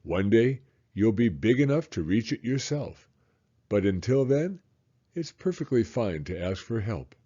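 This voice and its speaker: A man voicing a gentle father in a storybook, speaking calmly and tenderly to reassure his young son. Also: lowish voice, measured pace, slightly rough, highs cut off, full character voice. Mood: reassuring.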